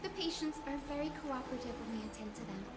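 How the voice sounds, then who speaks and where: Woman acting a very high-pitched, sweet young healer girl. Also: fast, reverberant, lightly acted. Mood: excited, delighted. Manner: bubbly, gushing, gasps and exclaims. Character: sweet, eager young female healer, cheerful and friendly. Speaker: game voice actor. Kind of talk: scripted game dialogue. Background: game music.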